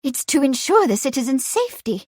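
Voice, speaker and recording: A woman voicing a girlish, excitable young upper-class lady in an audiobook, breathless and gushing. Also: extremely high pitch, normal speed, dry recording, studio quality, voice pushed up. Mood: excited.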